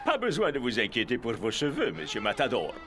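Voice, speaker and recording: An actor playing a suave, scheming rich stadium owner in a cartoon, courteous and pompous, over music. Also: male voice, mid-range voice, wildly swooping pitch, background noise. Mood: smug courtesy. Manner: courteous, pompous, unhurried, chuckling. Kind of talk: animated cartoon dub acting.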